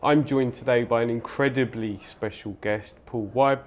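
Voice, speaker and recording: A man's voice: medium pitch, steady tone, moderate pace, slight background noise. A man hosting a live business interview in an office studio, agreeing readily with his guest. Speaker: interview host.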